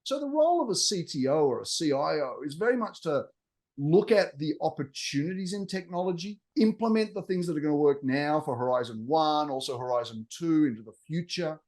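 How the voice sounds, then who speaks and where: Articulate Australian man, fairly high voice. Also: lively intonation, brisk, darkish tone. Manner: articulate, fluent, business-like explainer. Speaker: guest, technology executive. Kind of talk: interview answers.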